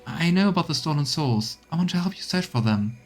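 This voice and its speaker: A man's voice: a little high, animated, brisk, voice pushed up. An earnest young female deserter seeking redemption, played by a male streamer, in a raised voice for a fantasy game. She pleads resolutely over faint music. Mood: resolute pleading. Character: earnest young woman, remorseful former deserter.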